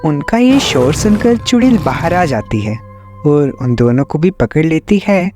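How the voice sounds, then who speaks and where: A man's voice: fairly high pitch, very animated, measured pace. A man reading scripted story narration as the storyteller. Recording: music behind the voice. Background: background music.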